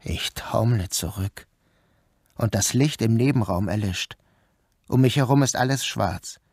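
A man's voice: on the low side, measured pace, darkish tone, slightly rough, dry recording, clean studio sound. A man acting a troubled, bewildered son who narrates in a literary first-person radio drama. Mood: dreamlike, brooding. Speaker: narrator and protagonist.

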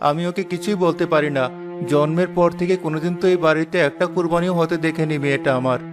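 A man voicing a poor, gentle, downcast village father in a cartoon, weary and plaintive. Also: a little high, steady tone, quick pace, natural voice. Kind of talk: cartoon dialogue dub. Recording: music under the voice.

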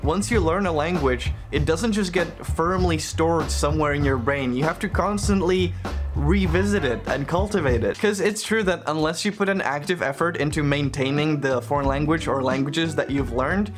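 A young Czech man with a slightly raised voice chats animatedly to camera, dropping in self-deprecating jokes. Music plays clearly under him throughout. He sounds close to the camera in a small room. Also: a little high, normal speed. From Czech Republic. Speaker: language vlogger. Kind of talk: casual scripted talk to camera. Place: small bedroom in Japan.

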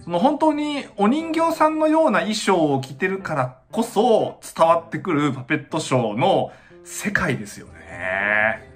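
Excitable young man with swooping pitch. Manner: excitable, exclaiming in awe. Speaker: dance reaction channel host. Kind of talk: casual reaction commentary. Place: small indoor room at home.

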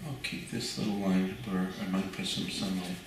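A man's voice: deep voice, monotone, normal speed. Older man teaching painting in an echoey studio. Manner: thinking aloud with fillers and restarts. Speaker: painting instructor. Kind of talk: relaxed painting demonstration. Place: art studio at an easel, indoors. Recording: very echoey room, mic far off, audible noise.

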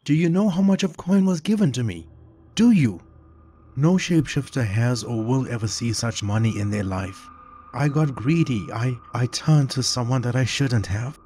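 A man's voice: expressive, normal speed, mellow, a little hiss or hum, natural voice. A man putting on a panicked young shapeshifter. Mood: desperate. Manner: pleading, panicked and high-strung. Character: terrified young male shapeshifter on the run.

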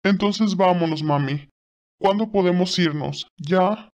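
A woman's voice: fairly low pitch, takes it slowly, a little mellow. A woman voicing a whiny, spoiled young man with a tearful, childish, pleading manner in an audio story. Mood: eager pleading.

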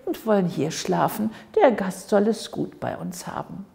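A woman voicing a kindly, humble, pious old man in a fairy tale, gentle and deferential. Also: medium pitch, sing-song, moderate pace, vocal fry. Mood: kindly, welcoming. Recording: echoey hall with long reverb.

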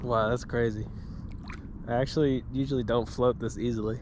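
A man's voice: medium pitch, fairly even intonation, normal speed. Casual young man, noisy outdoor vlog. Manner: casual, chatty, thinking aloud. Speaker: vlogger. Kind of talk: casual travel vlog narration. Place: outdoors at a campground and lake. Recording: noisy outdoor camera audio. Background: loud outdoor ambience with rain and water.

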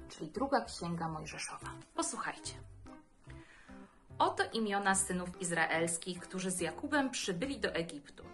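A woman's voice: medium pitch, fairly bright tone. A woman in her thirties reading scripture expressively to children as a storyteller. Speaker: children's Bible story reader.

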